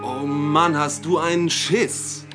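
A daring young ringleader talks brashly in a fairly high voice. He is cocky, pushy and dismissive, in horror audio drama style with music behind. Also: fairly even intonation, takes it slowly. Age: young adult. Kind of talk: horror radio drama acting. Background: prominent music.

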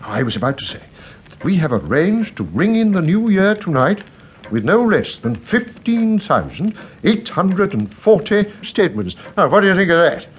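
An actor playing a kindly, absent-minded older English country rector in a radio drama, fussy and effusive, often hesitating and repeating himself. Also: male voice, high voice, big pitch swings, moderate pace, a little hiss or hum. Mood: proud, enthusiastic.

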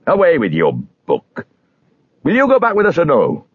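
A man's voice: fairly high pitch, wildly swooping pitch, normal speed, slightly rough, no room sound, narrow, tinny audio, pitched above the performer's own voice, full character voice. A man voicing a stubborn, scornful neighbour in a storybook, blustering and dismissive.

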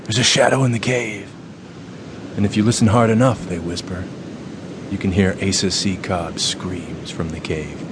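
An actor playing a solemn, ominous fraternity leader in a radio drama, with a slow theatrical and commanding delivery. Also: male voice, low-pitched, steady tone, background noise, natural voice. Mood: ominous, hushed. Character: solemn, ominous fraternity leader telling ghost tales and giving orders. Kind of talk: dramatic radio play acting.

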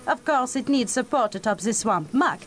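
A woman's voice: a little high, lively intonation, moderate pace, faint music behind, close to an everyday voice. A woman playing a suave, gleefully sinister mad scientist in a comic sci-fi radio drama, gracious and theatrical in her menace. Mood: proud, sinister.

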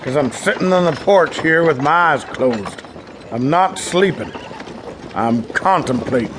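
An actor playing a cranky, self-important, gravelly-voiced frontier porch philosopher in a western audio drama. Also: male voice, on the high side, expressive, unhurried, noisy background. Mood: huffy, pompous.

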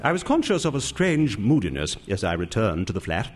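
Wildly swooping upper-class English gent. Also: male voice, lowish voice, sing-song, a little fast, breathy edge. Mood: dignified, wounded. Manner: pompous, theatrical, exclamatory. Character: flighty, indignant young English upper-class gentleman, narrating his story. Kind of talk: comic radio drama acting and first-person narration.

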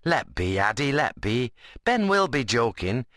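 A devout, good-humoured young rustic, played by a male narrator in an audiobook. He coaxes mildly in dialect. His voice is medium in pitch and bright, recorded dry. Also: big pitch swings, measured pace, no echo. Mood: persuasive. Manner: mild, persuasive rustic dialect.

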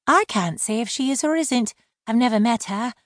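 Woman doing a mermaid, high lifted voice, indignant, crisp, storybook heroine. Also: animated, moderate pace, no room sound, studio quality, lightly acted. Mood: defiant. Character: proud adult mermaid woman, prickly and insistent.

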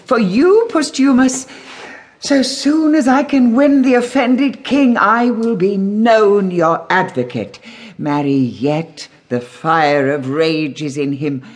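An actress playing a regal, scheming stepmother queen in a classical Shakespearean radio drama, measured and silkily persuasive. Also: medium pitch, natural voice. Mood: falsely reassuring.

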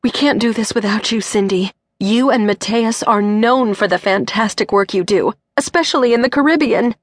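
A woman's voice: fairly high pitch, animated, moderate pace, slight background noise, pitched above the performer's own voice, lightly acted. A woman voicing a strong, elegant mother who is gasping and pleading through tears. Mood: distraught. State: crying.

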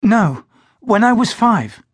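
A male narrator voicing a young widowed woman, pitched up and speaking softly and earnestly. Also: big pitch swings, slow pace, breathy edge, no room sound, clean studio sound, full character voice. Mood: wistful. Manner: raised pitch, soft and earnest. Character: young widowed woman, heartsick yet composed.

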